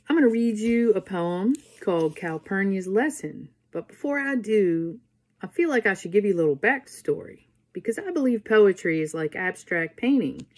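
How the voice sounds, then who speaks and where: A middle-aged woman poet speaking informally and reading at a poetry event. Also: medium pitch.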